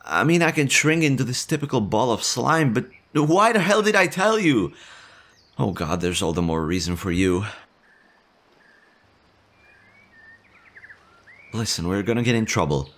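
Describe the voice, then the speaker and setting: A man voicing a timid young male slime monster in an audio roleplay, nervous and stammering, quick to worry. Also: mid-range voice, expressive, fairly bright tone. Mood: panicked. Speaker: voice actor.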